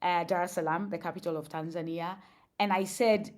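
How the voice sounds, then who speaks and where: A woman's voice: fairly low pitch, steady tone, fairly quick. A woman, a guest on a podcast interview, explaining thoughtfully with examples and frequent fillers. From Kenya.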